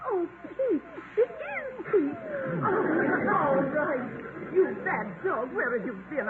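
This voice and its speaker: Haughty, high-voiced rich matron on radio. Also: female voice, phone-quality sound. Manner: haughty, melodramatic. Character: imperious, easily wounded wealthy older female benefactor. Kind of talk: 1950s radio acting. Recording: thin vintage radio recording, faint noise.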